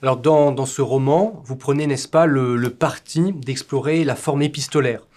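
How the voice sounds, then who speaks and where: A man's voice: medium pitch, fairly even intonation, moderate pace, darkish tone. A man interviewing an author, asking long, carefully built questions about his books.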